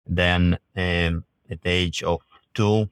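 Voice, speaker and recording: A man, talking as a podcast guest in a halting, unhurried way with many restarts. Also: low-pitched, steady tone, clear, resonant tone, no room sound, studio quality. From grew up in the Russian Caucasus. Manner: halting, restarts phrases, laughs easily. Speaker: podcast guest, ice climber. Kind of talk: casual interview talk.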